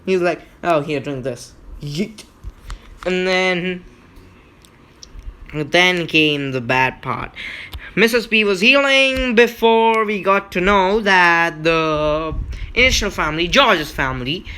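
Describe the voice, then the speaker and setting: Bright-voiced young-sounding gamer, slow explainer. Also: mid-range voice, lively intonation, clear, resonant tone. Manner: repetitive, emphatic explaining, checks with "okay?". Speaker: gaming video host. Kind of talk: casual gameplay walkthrough commentary.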